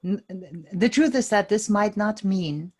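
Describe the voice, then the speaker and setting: A woman's voice: mid-range voice, a little slow, darkish tone. A woman leading a tapping session, calling out tapping points and giving short phrases for someone to repeat. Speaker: EFT tapping practitioner.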